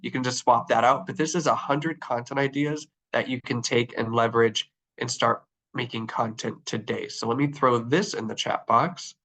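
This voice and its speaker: A man presenting a training webinar, his voice nasal and stuffy from the flu. He talks in an upbeat, casual way, full of ums and uhs, as if walking through a screen share. His pitch sits at an ordinary middle level for a man. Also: steady tone, normal speed, few pauses, fairly bright tone, poor audio. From Arizona. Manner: upbeat, filler-heavy, talking while clicking through screens. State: congested from the flu.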